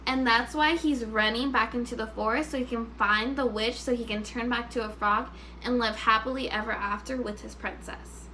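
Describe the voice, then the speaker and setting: A woman teacher reading a story aloud to first graders, expressive and instructive, pausing to put questions to them. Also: a little high, slight background noise.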